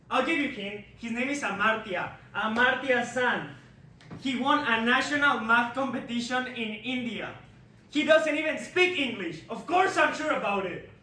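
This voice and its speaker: A man's voice: very high-pitched, pushed and bright, slight background noise, full character voice. A young man playing a showy, boastful male consultant boss in an amateur skit, recorded from a distance in an echoey room. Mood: boasting. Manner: emphatic, showy. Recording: echoey room, far mic.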